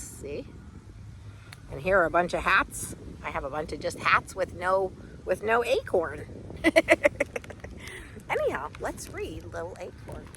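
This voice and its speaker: A woman reading a picture book aloud to young children in an expressive, chatty way with casual asides and exclamations. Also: lowish voice, slight background noise.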